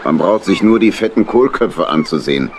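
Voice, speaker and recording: An actor playing a gruff, kindly old badger captain in a children's audio drama. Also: male voice, low-pitched, animated, moderate pace, slight background noise. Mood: friendly, sly.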